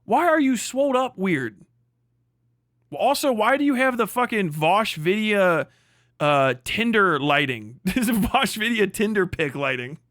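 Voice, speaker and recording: A man's voice: sits high, expressive, a little slow, a bit bright, no echo, studio quality. A man livestreaming mocking, profanity-heavy reaction commentary as host, in a crude, exclamatory riffing manner. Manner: often breaks into laughter at own riffs.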